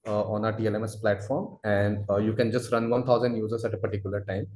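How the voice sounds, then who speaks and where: Echoey man explaining a product, halting. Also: fairly low pitch, level tone, a little mellow, a little hiss or hum. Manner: halting, wordy, repeats filler phrases "you know". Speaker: product expert answering webinar questions. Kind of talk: webinar product explanation, unscripted. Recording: roomy, echoey webinar audio.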